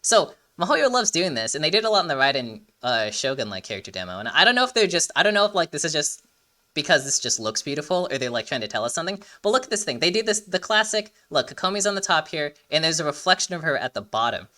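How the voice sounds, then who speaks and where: A man's voice: fairly high pitch, very animated, fast talker, pushed and bright. A young man giving casual, rambling, emphatic commentary as a reaction video host.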